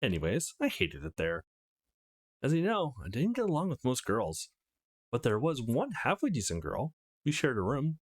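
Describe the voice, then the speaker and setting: A man's voice: medium pitch, huge pitch swings, normal speed, no echo, studio quality. A man voicing a feisty, foul-mouthed teenage girl in a visual novel, brash and confrontational. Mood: complaining. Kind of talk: acted visual novel read-aloud.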